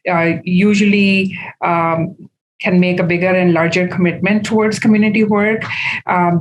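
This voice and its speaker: A middle-aged woman from Pakistan, a community leader answering interview questions thoughtfully with frequent pauses. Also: fairly low pitch, rough recording.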